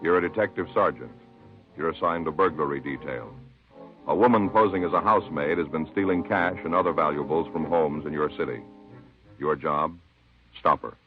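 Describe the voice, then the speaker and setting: Very deep, grave male radio announcer. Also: moderate pace. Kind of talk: terse scripted 1950s radio announcing. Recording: muffled old radio recording. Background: music.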